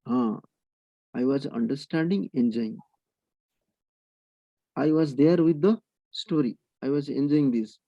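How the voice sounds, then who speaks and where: A man's voice: medium pitch, measured pace, gravelly, long pauses, dry recording, muffled recording. A man teaching an online class, mixing Hindi and English and coaxing his students to speak up. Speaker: Sanskrit teacher. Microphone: video call.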